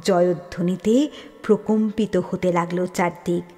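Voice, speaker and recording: A woman narrating a literary story in a dramatic, expressive manner, with deliberate pauses and emphasis. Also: lowish voice. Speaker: storyteller reading an audio story. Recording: long hall-like reverb on the voice.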